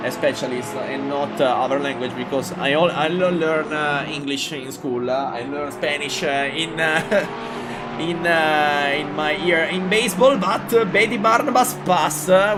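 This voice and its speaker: Excited Italian-accented race commentator. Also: male voice, fairly high pitch. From Italy. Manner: halting English full of fillers, excited rises at battles. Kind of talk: live sim racing commentary.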